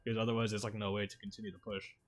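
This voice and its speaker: A man with a fairly low voice talks casually as he streams a video game. His speech is informal and slangy, addressed to viewers, with music playing behind him. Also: level tone, very fast. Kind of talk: casual gaming stream commentary, chatting with viewers. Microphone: streaming microphone.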